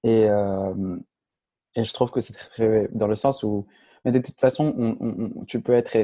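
A young man hosting a podcast, discussing casually in a halting way with frequent restarts. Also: lowish voice, steady tone, mellow, dry recording. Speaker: podcast host.

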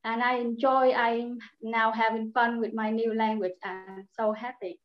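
High-voiced Vietnamese woman in an echoey room. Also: level tone. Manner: halting second-language English. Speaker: English learner interviewed. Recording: echoey room, slightly dull sound.

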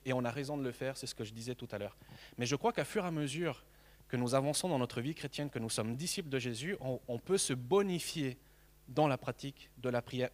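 Earnest halting preacher in a reverberant hall. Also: male voice, medium pitch. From Mexico. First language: Spanish. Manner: earnest, halting with frequent repeated words. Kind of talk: sermon.

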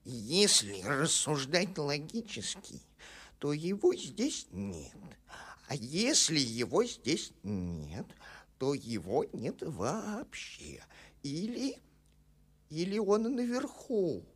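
An actor playing a pedantic dwarf in a cartoon, reasoning things out deliberately. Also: male voice, a little high, huge pitch swings, fairly bright tone, breathy edge, full character voice. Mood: pondering. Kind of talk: cartoon voice acting.

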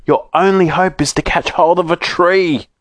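A man's voice: high voice, steady tone, moderate pace, no echo, pitched above the performer's own voice, lightly acted. A man voicing a crowd of anxious villagers in a children's storybook, calling out an urgent warning. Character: anxious villagers of a rocking land, warning loudly.

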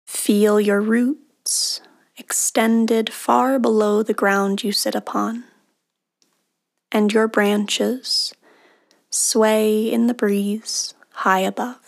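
A woman leading a guided meditation in a soothing, unhurried manner, with long pauses and soft, breathy phrase endings. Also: medium pitch, level tone. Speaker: meditation guide, podcast host.

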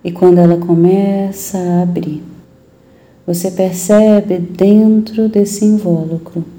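A Brazilian woman leading a guided meditation in a soothing, gentle, unhurried manner with long pauses. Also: on the low side.

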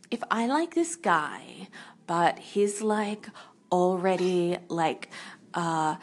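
A woman playing an airheaded young caller who drawls in valley-girl speech full of "like". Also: mid-range voice. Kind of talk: comedic parody.

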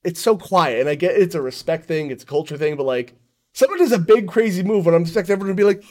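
A man from the United States chatting as a podcast guest, animated and self-mocking, with casual swearing. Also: high voice, quick pace. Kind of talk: casual chat.

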